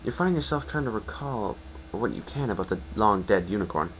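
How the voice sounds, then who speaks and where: Low-pitched man narrating a story. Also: lively intonation, fairly quick, a bit bright, a little hiss or hum, low-quality recording. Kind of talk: second-person dramatic story narration.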